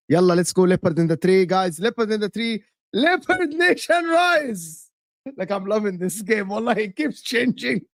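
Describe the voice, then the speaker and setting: A man from Texas with a Texan American accent, co-hosting a casual football chat and exclaiming loudly in disbelief. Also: extremely high pitch, no echo, clean studio sound. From Texas, USA. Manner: excitable, loud, exclaiming in disbelief. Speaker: podcast co-host.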